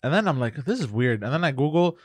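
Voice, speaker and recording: A man on a casual podcast telling a story in a relaxed way, with many fillers. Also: medium pitch, fast talker, dry recording. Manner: laid-back storytelling with fillers. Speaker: podcast co-host. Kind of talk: casual chat.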